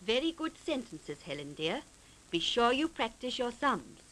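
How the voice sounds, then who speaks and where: Kindly, lilting high-voiced schoolmistress. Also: female voice, sing-song, a little slow, fairly bright tone, slight background noise, rough recording. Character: kindly, affectionate female teacher praising young pupils. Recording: vintage 1940s film soundtrack.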